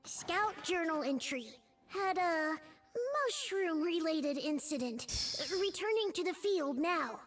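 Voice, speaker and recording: A woman's voice: very high-pitched, lively intonation, slow pace, a bit bright, soft background music, exaggerated voice. A woman voicing a small, chipper male yordle scout leader in a video game, earnest, naive and perky. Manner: earnest, perky, reciting rules like a proud scout. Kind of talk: video game champion voice lines.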